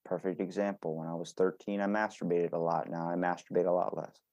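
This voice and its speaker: Young man, lowish voice, dry close sound. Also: little pitch movement, fairly quick, a little mellow, dry recording, muffled recording. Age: early 20s. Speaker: podcast host. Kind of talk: casual banter and questioning.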